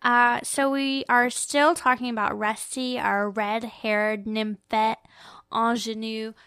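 A woman's voice: fairly high pitch, little pitch movement, takes it slowly, a bit bright, smooth, no echo, studio quality. A woman hosting a podcast, chatting casually and comedically about a book in a playful, emphatic, sweary way. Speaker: podcast host.

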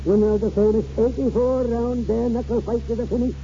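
Old Scottish rascal grandfather, grandiloquent and theatrical, very dark tone, dull noisy 1940s radio recording. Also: extremely high pitch, steady tone, brisk. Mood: boastful. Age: older.

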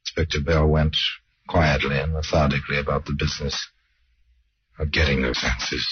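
A man narrating an old radio crime drama as a sardonic storyteller, deliberate and full of rhetorical questions. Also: extremely low pitch, flat delivery, background noise. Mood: grave, suspenseful. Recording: dull old radio recording.

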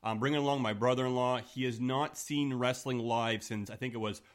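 A man from Canada with a deep voice, in his thirties, co-hosting a podcast in relaxed, casual chat. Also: a little fast. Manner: relaxed and chatty, trailing off quietly at phrase ends. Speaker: podcast co-host.

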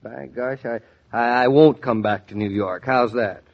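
A man's voice: mid-range voice, a little slow, vocal fry, lo-fi sound. A man playing a wry, proud young husband and father in a 1940s radio drama. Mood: wry, warming.

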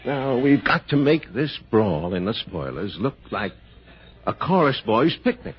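An actor playing a flustered, bossy American film director in a 1960s radio thriller. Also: male voice, medium pitch, very animated, unhurried, husky, full character voice. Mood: exasperated, bellowing. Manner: hesitant, hemming, then loudly bossy. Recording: dull old radio broadcast, busy background din.

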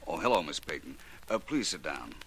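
An actor playing a courteous, cool private investigator in a 1940s radio drama, speaking crisply and without fluster. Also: male voice, rumbling low, very animated, a little fast. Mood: polite, businesslike. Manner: polite, crisp, unruffled. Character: Philo Vance, suave adult male private investigator, courteous, cool. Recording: noisy vintage 1940s radio recording.

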